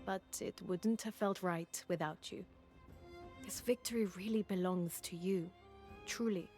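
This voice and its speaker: An actress playing a humble, sincere young queen in a fantasy video game, speaking in a measured, earnest way. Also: mid-range voice, a little mellow, faint music behind, close to an everyday voice. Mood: warm, humble. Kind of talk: dramatic game cutscene acting.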